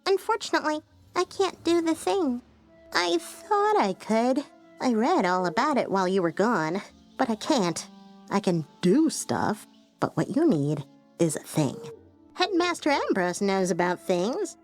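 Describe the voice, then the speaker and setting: High, swooping scatterbrained bookworm. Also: female voice, big pitch swings, unhurried, faint music behind. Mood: cheerful, sheepish. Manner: goofy, rambling, exaggerated emphasis. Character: quirky, scatterbrained, excitable young bookish know-it-all. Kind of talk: video game character acting.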